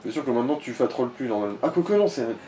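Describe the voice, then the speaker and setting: A man's voice: mid-range voice, lively intonation, rapid-fire, a crowd in the background. A man chatting casually as he guides a player through a game, in informal, crude slang. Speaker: co-commentator guiding the player. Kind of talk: casual gaming chat.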